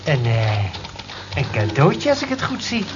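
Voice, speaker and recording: Swooping, teasing office joker. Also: male voice, on the high side, wildly swooping pitch, measured pace. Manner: mocking, theatrical, jocular. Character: teasing, jovial male office colleague, mock-grand. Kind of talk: radio drama acting. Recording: dull, noisy old radio recording.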